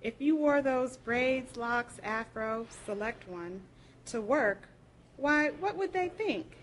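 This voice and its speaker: High-voiced woman in an expressive dramatic reading. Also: slow pace, a little hiss or hum. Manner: expressive, theatrical delivery. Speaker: reader at a library program. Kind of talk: dramatic first-person literary reading.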